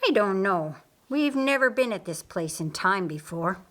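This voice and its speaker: A woman's voice: mid-range voice, lively intonation, moderate pace, fairly bright tone, close to an everyday voice. A woman voicing a dutiful, skeptical male angel soldier in an audiobook, careful and clipped. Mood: skeptical, certain. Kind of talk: audiobook character acting.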